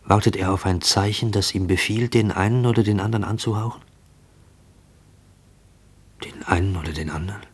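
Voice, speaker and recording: Anxious watcher, low husky voice, hushed, deliberate, questioning, radio play monologue. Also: male voice. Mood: dread, suspense. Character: fearful man shadowing a mysterious stranger.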